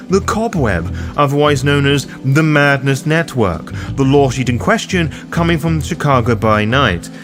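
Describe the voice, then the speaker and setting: Theatrical male narrator with very lively pitch. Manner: theatrical, formal wording, playful asides. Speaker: host of a roleplaying guide series. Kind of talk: scripted narration, tabletop roleplaying guide. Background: background music.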